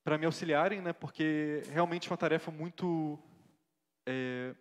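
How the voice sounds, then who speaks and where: A man's voice: fairly high pitch, moderate pace, close to an everyday voice. A man playing an earnest young missionary pastor. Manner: earnest, reflective storytelling with frequent tag questions. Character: earnest young male missionary pastor recounting his life. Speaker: interviewee in a church play. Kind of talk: staged interview, church theatre. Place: church hall. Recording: reverberant church hall.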